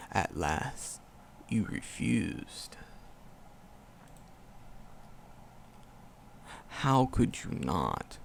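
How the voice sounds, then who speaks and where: A man playing an ancient, tender and sorrowful forest demon in an ASMR roleplay, with a gravelly voice and solemn, archaic storytelling. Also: lowish voice, slight background noise, heavily acted. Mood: wistful, tender. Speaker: voice actor in audio roleplay.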